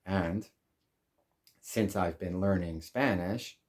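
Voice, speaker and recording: A man whose first language is English, hosting his own channel and speaking calmly and deliberately in measured phrases. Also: low-pitched. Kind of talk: slow reflective monologue.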